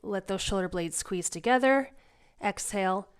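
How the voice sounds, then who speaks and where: A woman's voice: mid-range voice, normal speed, clear, resonant tone. A woman yoga instructor getting over a cold, guiding a class in a casual, reassuring way. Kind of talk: guided exercise class instruction.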